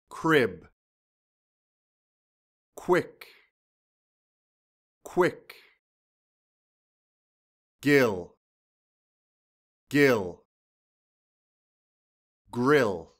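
A man's voice: mid-range voice, a bit bright. A young Canadian man with a Canadian accent teaching pronunciation in a scripted lesson, carefully enunciating and repeating each practice word twice.

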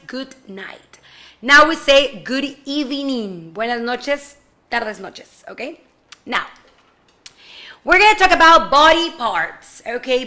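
Young woman w/ teaching little kids on webcam, high bright voice, stretches words out slow. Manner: slow, drawn-out model phrases with playful asides. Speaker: ESL teacher for kindergarten. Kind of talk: online class for young children, mixing English and Spanish. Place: room at home in front of a slide screen.

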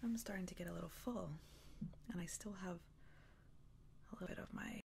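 A young woman playing a possessive, teasing female vampire in an intimate, breathy ASMR roleplay whisper. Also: lowish voice, a little mellow. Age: young adult. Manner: slow, intimate, coaxing. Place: small room indoors.

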